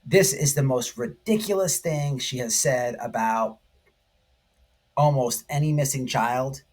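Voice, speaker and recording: A man's voice: medium pitch, unhurried, a little mellow. A man hosting informal true crime commentary, speaking emphatically in slangy language and often checking in with the listener. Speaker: YouTube commentary host.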